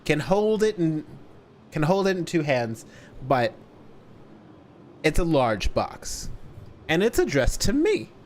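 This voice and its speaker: A man telling a story casually to a livestream audience, deliberately stretching words for emphasis. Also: on the high side, big pitch swings, a little hiss or hum.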